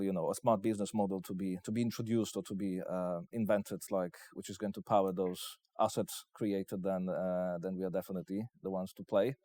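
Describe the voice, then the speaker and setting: Low-voiced Polish man being interviewed. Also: darkish tone, no echo. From Poland. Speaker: investment company representative, interviewee. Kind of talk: interview answers, business pitch.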